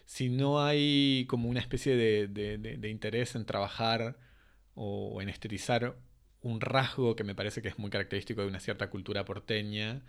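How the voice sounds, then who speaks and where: Low-ish guy interviewing, academic, lots of stops and restarts. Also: steady tone. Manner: halting, many restarts, academic wording. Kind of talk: interview questions.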